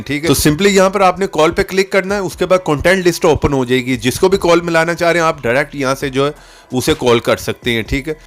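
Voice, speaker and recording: A man's voice: on the high side, fairly bright tone. A man giving a casual step-by-step app tutorial voice-over, often checking in as he instructs. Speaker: tutorial channel host. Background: background music.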